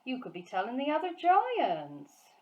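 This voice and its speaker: A woman voicing a huge, gentle, emotional male giant from a storybook, who talks in muddled grammar and made-up words. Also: high-pitched, huge pitch swings, measured pace, dull audio, pitched above the performer's own voice, exaggerated voice. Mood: suspicious, emphatic.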